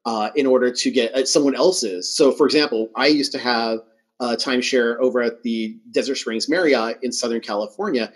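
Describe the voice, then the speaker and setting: A man's voice: mid-range voice, fairly even intonation, moderate pace, husky. Man hosting a podcast, welcoming manner. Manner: welcoming, appreciative. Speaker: podcast host.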